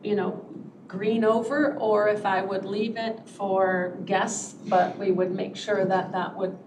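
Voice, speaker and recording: A woman applying for a zoning variation, answering in a hesitant, halting way. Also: medium pitch, fairly even intonation. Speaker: applicant. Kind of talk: hearing testimony. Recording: echoey room, distant mic, noisy.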